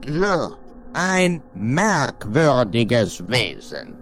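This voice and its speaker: A man's voice: medium pitch, sing-song, extremely slow, a bit bright, a little hiss or hum, heavily acted. An actor playing a stern old Martian father in a radio play, disdainful and declamatory. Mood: scornful. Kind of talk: German satirical radio play acting.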